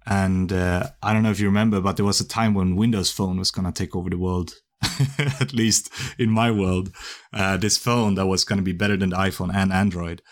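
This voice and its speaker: A man's voice: low-pitched, steady tone, normal speed. A man in his thirties from Sweden and Japan, a podcast guest telling his story in a conversational, self-deprecating way. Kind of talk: podcast interview.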